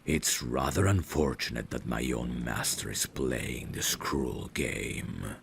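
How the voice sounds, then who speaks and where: Man acting a very deep, gravelly vampire butler. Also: slight background noise, heavily acted. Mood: grave, sympathetic. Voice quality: gravelly, breathy. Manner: formal, courtly, deliberate phrasing. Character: devoted, courteous male vampire butler, tender yet menacing. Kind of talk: intimate ASMR audio roleplay acting.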